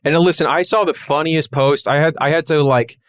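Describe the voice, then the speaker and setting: A man on a casual podcast who rants and jokes, swearing freely as he vents. His voice sits a little higher than most men's. The recording is dry, with no room echo. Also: brisk. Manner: profane, ranting, jokey. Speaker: podcast co-host. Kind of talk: casual chat.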